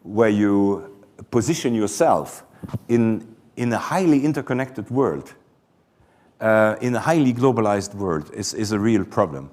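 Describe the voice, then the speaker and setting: A Belgian man answering audience questions after a conference talk, often hesitating and repeating words before he warms up. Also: lowish voice, expressive, a little slow. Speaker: conference presenter.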